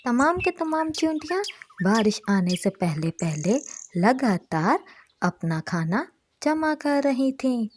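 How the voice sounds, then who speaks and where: A woman's voice: mid-range voice, slight background noise. A woman narrating a scripted moral story for children as a storyteller.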